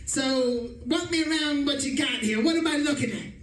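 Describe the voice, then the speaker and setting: A man's voice: very high voice, moderate pace, a bit bright. A man playing an exasperated, boastful old-time radio detective who rants theatrically about his misadventures in a comedic drama. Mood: curious. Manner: theatrical, ranting, emphatic. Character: exasperated, boastful male 1940s-style detective, dramatically rattled time traveler. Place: live stage performance in an echoey room. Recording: echoey room, distant mic, faint noise.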